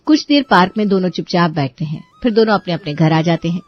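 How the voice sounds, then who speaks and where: A woman's voice: fairly low pitch, lively intonation, fast, dry recording, highs cut off, faint music behind. A woman narrating a scripted story as its storyteller.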